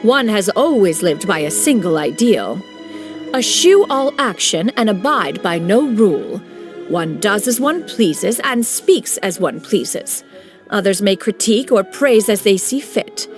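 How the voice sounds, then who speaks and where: A woman's voice: medium pitch, sing-song, measured pace. A woman voicing an ancient, proud female bird spirit who speaks in a pompous, archaic manner, calling herself one. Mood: haughty. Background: game music.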